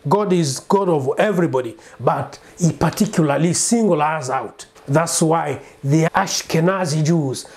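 A man's voice: fairly high pitch, unhurried, few pauses. A man in his thirties from Congo whose first language is Bembe, speaking earnestly to camera in a small home study on a lapel mic. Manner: earnest, insistent, gesturing with his hands. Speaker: preacher and singer presenting himself. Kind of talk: direct-to-camera monologue.

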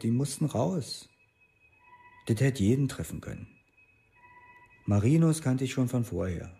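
An actor playing an aggressive, defensive young ex-convict, blunt and colloquial, in a radio play. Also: male voice, mid-range voice, creaky, mellow, natural voice. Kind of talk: documentary radio play monologue.

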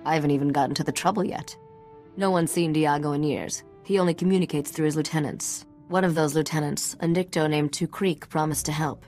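An actress playing a shrewd, secretive treasure hunter in a video game, cool, confident and knowing. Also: female voice, sits low, steady tone, a little fast, a little mellow, soft background music, close to an everyday voice. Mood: sly, businesslike. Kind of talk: video game dialogue acting.

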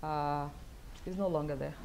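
A middle-aged woman from South Sudan answering audience questions at a lectern, speaking thoughtfully with many pauses and fillers. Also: low-pitched, steady tone, creaky, darkish tone, slight background noise. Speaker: guest speaker. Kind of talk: lecture Q&A answers. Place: wood-paneled event room, at a lectern. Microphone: lectern mic.

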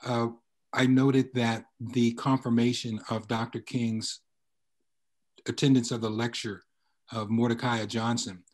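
An older man on a video call, explaining as a panelist in a measured, deliberate and emphatic way. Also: medium pitch, little pitch movement. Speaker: panelist, history researcher. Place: indoor room on a video call.

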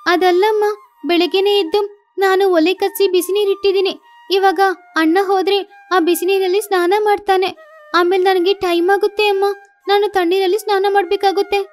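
Cartoon girl sparrow, played by a woman: young, very high voice, plaintive, complaining, dutiful. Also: steady tone, normal speed, faint music behind. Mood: pleading. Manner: pleading, complaining.

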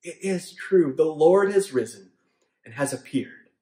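A man in his thirties preaches from a room at home in an earnest, measured way, his voice a little higher than most men's. The room is roomy and echoey around him. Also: lively intonation. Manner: earnest, measured, softening to a hushed prayer. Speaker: pastor. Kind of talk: sermon with closing prayer. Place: home room with bookshelves, indoors.

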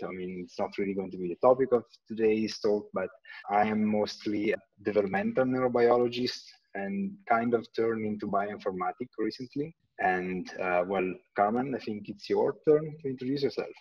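A man in his thirties from Italy, talking in a low, husky voice. He co-hosts an online panel in a relaxed, joking way and pauses often to think. The audio is muffled and sounds distant from the mic on a video call. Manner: relaxed, joking, thinks aloud with many fillers. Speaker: co-host and postdoc. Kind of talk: casual online panel chat.

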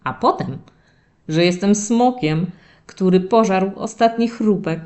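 A woman voicing a playful young male terrier puppy who tells stories from his diary to children in an expressive, dramatic way. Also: mid-range voice, lightly acted. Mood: playful, warm. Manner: expressive, drawn-out dramatic telling addressed to children. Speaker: narrator of a children's audio story series. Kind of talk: animated first-person children's storytelling.

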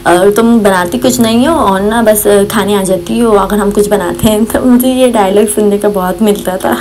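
A woman's voice: medium pitch, a little mellow, slight background noise, poor audio. A young woman, chatting casually in a voice-over for her home cooking vlog, rambling with many fillers and religious phrases. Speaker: cooking vlogger. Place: home kitchen. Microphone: voice-over recorded separately.

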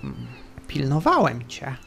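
A game master voicing a small, calm, ragged creature that speaks slowly and haltingly in a tabletop game. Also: mid-range voice, creaky, faint music behind, pitched below the performer's own voice, heavily acted. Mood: calm, earnest. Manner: slow, halting, hums before answering. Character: small wrinkled long-nosed ragged creature, calm, hesitant, childlike.

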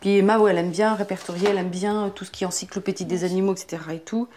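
A woman's voice: medium pitch, fairly even intonation, very fast, darkish tone, a little hiss or hum. Middle-aged mother talking loosely at home. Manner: loose and hesitant, with many fillers and restarts. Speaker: homeschooling mother. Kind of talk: casual interview talk. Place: home interior, living room.

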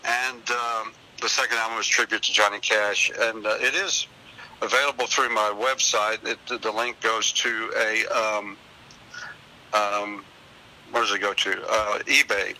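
A man's voice: fairly low pitch, steady tone, measured pace, pushed and bright, low-quality recording. An older man chatting casually as a call-in guest, telling anecdotes in a rambling, folksy, very polite way. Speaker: phone-in guest, singer and website owner. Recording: hissy radio call-in with clear noise.

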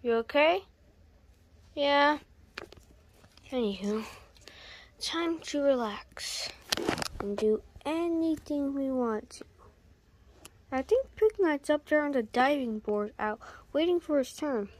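A woman's voice: high-pitched, animated. A young woman voicing plush toys in a homemade bedroom skit, switching between character voices. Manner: shifts between many put-on character voices, slow and drawn out. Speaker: plush toy video maker voicing all characters.

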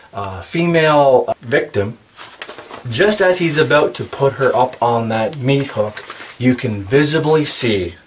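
A man's voice: mid-range voice, a little hiss or hum. A man in his forties talking casually and enthusiastically about horror cosplay props in a cluttered room, filmed from a distance. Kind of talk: casual show-and-tell vlog. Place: indoor room packed with horror collectibles.

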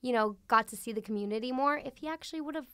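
Brief: female podcast co-host, slightly high, smooth, dry close mic. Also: racing, bright, forceful tone, dry recording. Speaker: guest co-host. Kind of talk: casual podcast chat.

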